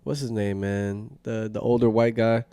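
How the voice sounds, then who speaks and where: A man's voice: lowish voice, steady tone, moderate pace, dark tone, clean tone, dry recording. A young man co-hosting a podcast, asking questions in a laid-back, profane way. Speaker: podcast co-host. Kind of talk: casual chat.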